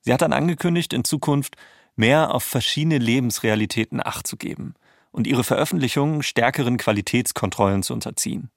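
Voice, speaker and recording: A man's voice: medium pitch, fairly quick, creaky, no room sound, clean studio sound. A man narrating a scripted documentary podcast as its host.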